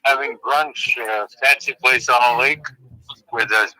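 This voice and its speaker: A man calling into a live show, chatting in a relaxed, friendly way. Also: mid-range voice, unhurried, no room sound, low-quality recording. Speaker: phone caller. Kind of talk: casual phone chat.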